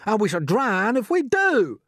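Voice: medium pitch, big pitch swings, moderate pace, no room sound, studio quality, voice pushed up, natural voice. A performer reading a storybook, voicing a gloomy, irritable blind male earthworm who complains in dramatic wails. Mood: indignant. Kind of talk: storybook character voice.

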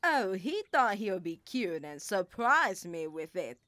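A drawling old Southern grandma. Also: female voice, medium pitch, big pitch swings, a little slow, bright, forceful tone. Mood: fond. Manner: drawling, affectionate. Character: warm older southern grandmother, teasing and motherly. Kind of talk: full-cast audiobook acting.

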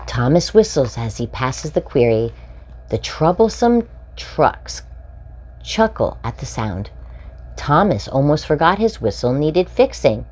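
A woman in her forties reading a children's picture book aloud, expressively and with deliberate phrasing for young children. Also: low voice, big pitch swings, darkish tone, husky. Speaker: storytime host reading aloud. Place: small furnished room indoors.

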